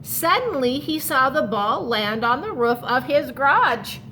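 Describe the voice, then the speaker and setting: Slow, high-voiced woman reading to children. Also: a little hiss or hum. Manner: expressive, stretches key words, explains asides to young listeners. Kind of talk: picture-book read-aloud for children.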